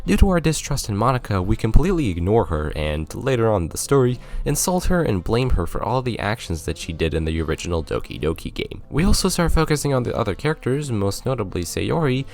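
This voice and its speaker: A man's voice: on the low side, big pitch swings, quick pace, hardly any pauses, darkish tone. A man narrating a scripted video game review, with sarcastic, joking asides.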